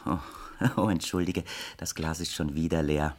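A man's voice: rumbling low, steady tone, normal speed. An actor playing a wealthy, flippant bachelor in his thirties with urbane, mocking politeness, in a German radio play from around 1960. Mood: suavely teasing. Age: 30s. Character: wealthy, flippant bachelor nephew of thirty-eight, suavely evasive.

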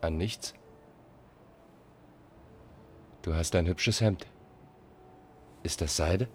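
A low-voiced, laconic, enigmatic stranger. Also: male voice, takes it slowly, a little hiss or hum. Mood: detached. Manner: terse, deadpan. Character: enigmatic, evasive male stranger, cool and laconic. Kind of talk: German radio play acting. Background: music.

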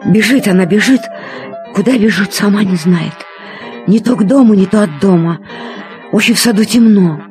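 A woman narrating a children's story expressively, in a deliberate, dramatic storytelling manner. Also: medium pitch. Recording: cassette transfer with music behind.

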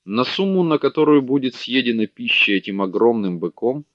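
A man's voice: medium pitch, lively intonation, normal speed, dry recording, lightly acted. A man reading a haughty, greedy merchant. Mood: scornful. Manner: haughty, contemptuous. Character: sleepy greedy fat male merchant. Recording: dull dry lo-fi audiobook recording.

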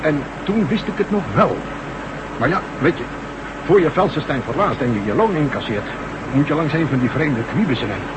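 An actor playing a blunt, chummy, incurious mechanic in a Dutch radio drama. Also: male voice, on the high side, expressive, normal speed, close to an everyday voice. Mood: chatty, informative. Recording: noisy old radio recording.

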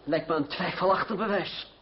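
An actor playing a proud, cool young gentleman fencing master in a radio play, speaking formally with measured irony. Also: high-pitched, lightly acted. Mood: sardonic. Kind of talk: Dutch radio play acting. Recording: dull, noisy old radio recording.

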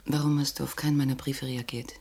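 Husky, hushed, wounded ex-lover. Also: female voice, very deep voice, little pitch movement, fast talker, exaggerated voice. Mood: hurt, probing. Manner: hushed, intimate, probing. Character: guarded, wounded young woman, the hero's former lover. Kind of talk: German radio crime drama dialogue.

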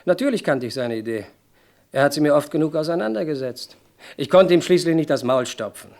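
Casting: slick, haughty swindler, fairly high male voice, evasive and dismissive, radio crime drama. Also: expressive, brisk, a little mellow. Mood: scornful, defiant. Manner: dismissive, self-assured, evasive. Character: arrogant, slippery male businessman swindler under arrest.

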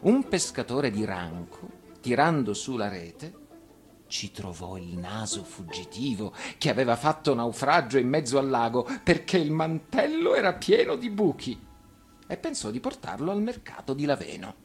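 A man's voice: mid-range voice, sing-song, moderate pace. A man reading a children's tale expressively as an actor, with music behind him. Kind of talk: expressive storybook narration. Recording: radio reading over music.

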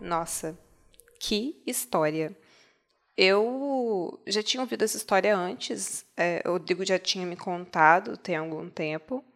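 A woman's voice: medium pitch, unhurried, gravelly, a bit bright. A woman with a Brazilian accent giving casual, conversational commentary as on a podcast.

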